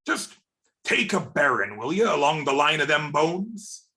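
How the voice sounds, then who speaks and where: A man's voice: fairly high pitch, very animated, a little slow, sharp tone, heavily acted. A man playing a wily, blustering pirate seaman in a radio play, speaking in pirate dialect. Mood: scheming, grim.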